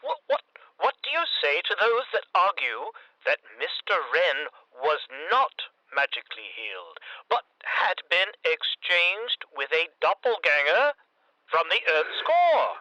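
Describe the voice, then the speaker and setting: An actor playing a pompous, excitable conspiracy theorist calling in to a comedy radio drama, with theatrical, grandiose indignation. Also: male voice, high voice, measured pace, dry recording, highs cut off, exaggerated voice. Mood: challenging. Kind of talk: comedic audio drama acting.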